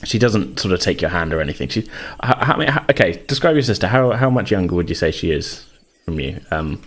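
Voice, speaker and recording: Low-voiced man narrating a game. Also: very animated, a little fast. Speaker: game master. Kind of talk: improvised narration of a tabletop game.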